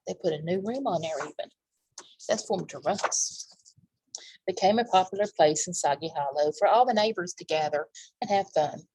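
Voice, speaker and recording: A woman with a husky voice reading a picture book aloud to young children, pausing for simple asides about the pictures. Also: on the low side, a little mellow, dry recording, rough recording. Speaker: children's library storytime reader.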